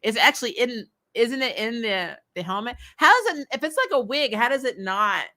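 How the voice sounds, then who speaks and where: An American woman hosting a casual recap show, chatting in a blunt, animated, opinionated way with informal language. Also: on the high side, normal speed. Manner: animated, frequently laughing, blunt and informal with mild swearing. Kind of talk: casual opinionated fan chat, reading and answering comments.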